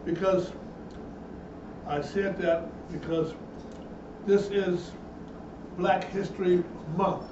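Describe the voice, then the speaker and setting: A man's voice: high-pitched, level tone, slow, long pauses. Emphatic preacher man in an echoey room. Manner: emphatic, repetitive preaching with rising intensity. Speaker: minister. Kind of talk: bible teaching sermon. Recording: echoey, distant, dull room sound with noise.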